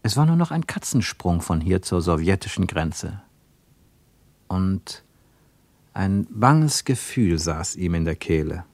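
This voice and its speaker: Literary storyteller in a radio drama, lowish voice, measured, very dry studio sound. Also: male voice, sing-song, a little mellow, no room sound, full character voice. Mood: measured, reflective. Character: measured, literary male narrator of a travel tale. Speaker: narrator. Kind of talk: literary radio play narration.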